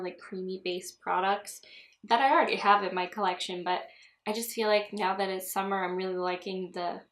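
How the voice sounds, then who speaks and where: A young woman chats through a makeup tutorial at home, giggling and drifting into asides. Her voice sits at an ordinary pitch for a woman and sounds a little stuffy from a cold. The room gives it a noticeable echo. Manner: chatty, giggly, rambling asides. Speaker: beauty vlogger. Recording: echoey room.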